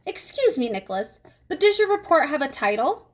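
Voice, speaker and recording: A woman voicing a prim, strict female schoolteacher with a formal, measured manner in a storybook reading. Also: high voice, very animated. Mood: stern, formal.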